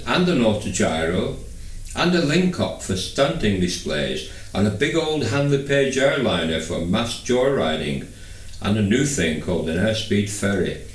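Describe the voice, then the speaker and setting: A man reading a first-person novel aloud as scripted narration. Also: lowish voice. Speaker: audiobook reader. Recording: echoey room, distant microphone.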